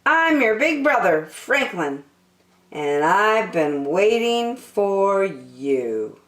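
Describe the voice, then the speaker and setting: A woman voicing an eager, sweet, impatient young boy in a storybook, excitable and earnest. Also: mid-range voice, very slow, echoey room, pitched above the performer's own voice, heavily acted. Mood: tender, earnest.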